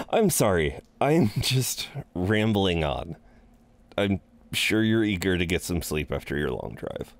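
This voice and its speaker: Male actor as incubus innkeeper, low voice, unhurried, chatty, flirtatious, welcoming, roleplay audio drama. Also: sing-song, no room sound, full character voice. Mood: apologetic, eager. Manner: rambling, hesitant asides, chuckling, flirtatious. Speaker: innkeeper at a front desk.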